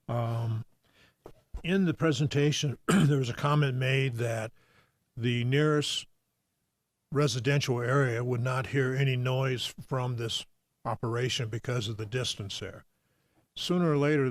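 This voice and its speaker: A man's voice: mid-range voice, a little slow, no echo. A man chairs a planning commission meeting and keeps things moving with short questions. The sound is dry and close. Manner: brief, procedural.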